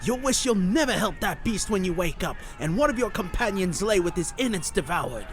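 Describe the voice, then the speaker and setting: A man voicing a furious, grieving elf warrior who shouts formal threats in a fantasy audio drama. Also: high-pitched, animated, brisk, faint music behind. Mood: furious, threatening.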